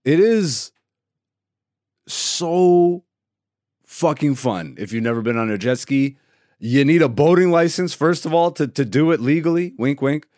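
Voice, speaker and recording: Comedian podcast host, male, born in Greece; easygoing, swears a lot, breaks into loud hype; dry close mic. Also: on the high side, a little slow, no echo. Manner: laid-back, profane, laughing, with sudden loud excited bursts. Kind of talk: casual solo podcast chat.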